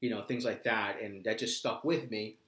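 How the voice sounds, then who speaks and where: A middle-aged American man chatting casually in a halting, reflective way, often repeating words. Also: lowish voice, fairly even intonation, a bit bright. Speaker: co-host, the father. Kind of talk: casual chat. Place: small room indoors, seated at a table. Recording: slightly echoey room.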